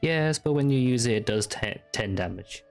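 A man's voice: medium pitch, expressive, normal speed, creaky. A man streaming a game, giving casual commentary and reading dialogue aloud. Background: soft game music.

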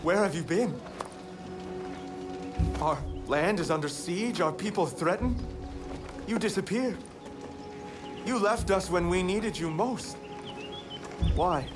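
High-voiced, bitter young cult leader. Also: male voice, slow pace, long pauses. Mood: accusing. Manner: declamatory, indignant. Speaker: actor voicing a game character. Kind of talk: dramatic game cutscene acting. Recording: music under the voice. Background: game music.

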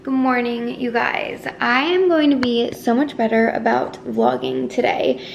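A woman in her twenties talks informally to her vlog viewers in a slightly scratchy, fairly high voice with plenty of vocal fry. She peppers her chat with "like" as a filler. She is recorded indoors at home, with faint ambient noise behind her. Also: expressive, unhurried, muffled recording. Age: 20s. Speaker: lifestyle vlogger.

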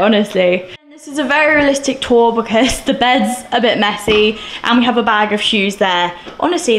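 A young woman in her twenties chatting casually and excitedly as she shows off her new flat, filmed on a handheld camera. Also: mid-range voice, slight background noise. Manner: chatty, bubbly, gushing with excitement. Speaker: vlogger. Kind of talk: casual home tour vlog. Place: mostly empty new flat, indoors. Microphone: camera mic, handheld camera. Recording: echoey empty rooms.

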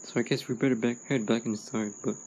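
A man's voice: mid-range voice, steady tone, quick pace, a little mellow, slight background noise, lightly acted. A man voicing a laid-back male robot toy hero in a home toy video, talking with lots of fillers and restarts. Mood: easygoing. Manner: rambling, many fillers.

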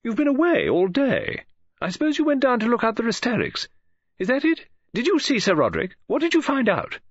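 An excitable older woman novelist, put on by a male reader, high-pitched and gushing, who hammers home every word. Her voice leaps wildly up and down. The recording is dry and slightly dull. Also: fairly quick, slightly rough, no room sound, full character voice. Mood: eager.

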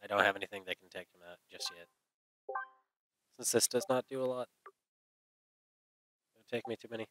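A man's voice: fairly low pitch, fairly quick, long pauses, bright, forceful tone, a little hiss or hum, rough recording. A man giving casual, sweary gameplay commentary, mostly talking to himself as host. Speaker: let's play host.

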